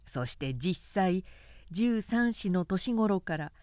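Female narrator for literary audiobook: expressive storyteller, widely varied pitch, slow and deliberate phrasing. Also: no room sound, studio quality. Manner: measured, expressive storytelling delivery. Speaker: narrator reading a short story aloud.

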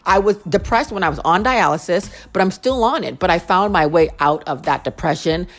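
A young woman hosting her own podcast, talking casually and earnestly, with a naturally low-toned voice and a habit of repeating phrases for emphasis. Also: animated, brisk. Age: young adult. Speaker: podcast host. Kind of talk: casual heartfelt monologue.

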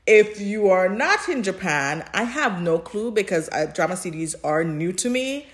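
A woman's voice: medium pitch, expressive, normal speed. A woman co-hosting a podcast in casual chat, speaking emphatically and repeating phrases for emphasis. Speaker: podcast co-host.

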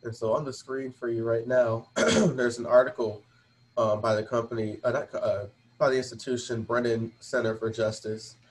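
A man's voice: mid-range voice, moderate pace, a little hiss or hum. A male host with a mid-range voice runs a casual interview show. He talks in an informal, slangy way, moving between loose conversation and reading written material aloud.

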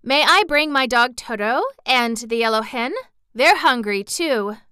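A woman's voice: fairly high pitch, unhurried, pushed and bright, smooth, no room sound, clean studio sound, pitched above the performer's own voice. A woman voicing a hungry little girl in a storybook, polite, pleading and plucky. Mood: grateful, eager. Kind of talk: storybook character reading.

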